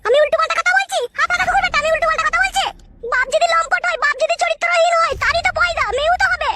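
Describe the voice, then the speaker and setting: Shrill, fast-scolding village mother. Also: heavy background noise. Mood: angry accusing. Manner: brash, nagging, combative. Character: shrill, foul-mouthed, scolding middle-aged village mother. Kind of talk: bawdy cartoon comedy acting.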